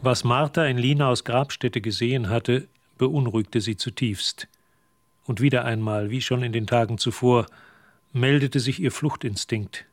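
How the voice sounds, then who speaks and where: An actor playing the composed narrator of a radio drama, giving measured literary narration of a dark mystery. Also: male voice, medium pitch, no room sound, natural voice. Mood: composed, suspenseful.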